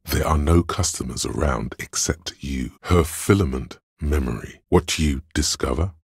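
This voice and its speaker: An actor playing a detective's inner voice of logic in a video game, a grave, analytical man with a gravelly rasp and a deliberate, dry, detached delivery. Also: rumbling low, no room sound, heavily acted. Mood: cool, deducing.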